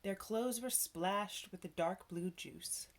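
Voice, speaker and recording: A woman reading a children's story aloud, with expressive, measured narration and dramatic phrasing. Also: mid-range voice. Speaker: storybook reader.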